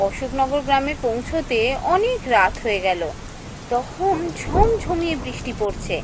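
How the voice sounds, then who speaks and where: A woman acts as an earnest young female office worker in a cartoon story. Her voice is high and swings expressively as she talks and narrates. There is faint noise behind her. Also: big pitch swings, moderate pace. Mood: anxious.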